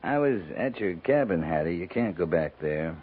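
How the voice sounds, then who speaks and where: An actor playing a wry, fond American cavalry captain in a 1950s radio drama, dry and gently teasing. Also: male voice, low voice, lively intonation, moderate pace, narrow, tinny audio. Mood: wry, firm.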